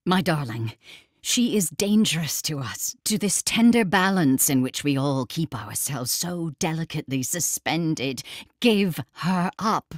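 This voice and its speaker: An actress playing a grave, measured woman who reads letters and announces chapters in an audio drama. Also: sits low, animated, dry recording, studio quality. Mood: pleading. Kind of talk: scripted audio drama acting.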